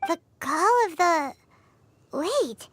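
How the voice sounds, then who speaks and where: A woman voicing a small, excitable, blunt fairy companion with a squeaky childlike voice in a video game. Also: extremely slow, dry recording, heavily acted. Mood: startled, suspicious. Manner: refers to self in third person, exclaims. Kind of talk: animated video game voice acting.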